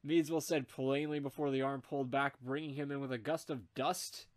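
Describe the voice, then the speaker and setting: A man narrating fan fiction in an amateur comedic group reading, animated and dropping wry asides. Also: medium pitch, moderate pace.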